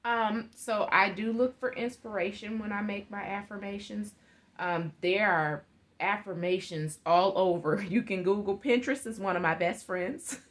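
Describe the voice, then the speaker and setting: A woman's voice: mid-range voice, normal speed. Earnest woman on a home video call. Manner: earnest, gestures while talking, laughs often. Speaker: guest speaker on a live video call interview. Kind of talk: motivational talk. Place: living room at home. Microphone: webcam on a video call.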